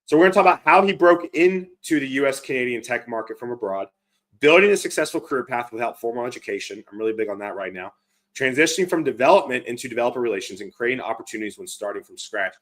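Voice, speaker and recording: A man hosting a casual interview on a video call, enthusiastic and quick to affirm his guest. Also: mid-range voice, lively intonation, a bit bright. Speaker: host.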